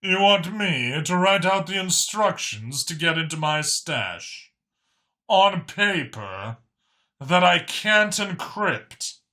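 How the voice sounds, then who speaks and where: A man's voice: sits high, sing-song, takes it slowly, sharp tone, pitched above the performer's own voice, exaggerated voice. A man voicing a suspicious vulture-like alien art thief in a narrated story, dripping with sarcasm. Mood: sarcastic.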